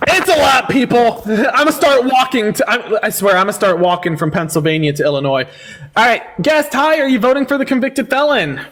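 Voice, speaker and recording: A man's voice: very high-pitched, fairly quick, a bit bright. A man hosting a livestream debate, talking in a sarcastic, combative and theatrical way.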